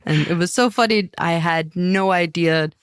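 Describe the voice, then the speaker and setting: A woman's voice: lowish voice, moderate pace, smooth, no echo, studio quality. A young American woman, a guest on a podcast, chatting casually and upbeat with many "like" fillers. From United States. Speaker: podcast guest, language learner and singer. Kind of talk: casual interview chat.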